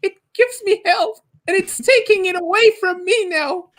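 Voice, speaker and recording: Food-obsessed, childlike video-game hero played by a woman; high voice, melodramatic stammer, stubborn temper. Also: normal speed. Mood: sheepish, stubborn.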